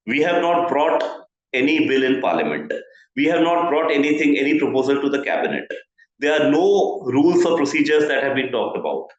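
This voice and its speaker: A man with an Indian accent explains policy as a journalist, in a deliberate, explanatory manner with rhetorical check-ins. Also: a little high, highs cut off. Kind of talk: explanatory news analysis. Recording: very echoey, reverberant room.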